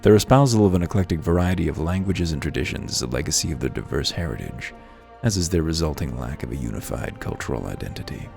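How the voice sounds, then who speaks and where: Very deep-voiced man, gravelly and wry. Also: lively intonation, fast, darkish tone. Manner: wry, musing, articulate asides. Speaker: host of a video game playthrough series. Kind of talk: casual game commentary with read-aloud lore. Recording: music playing behind the voice. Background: music.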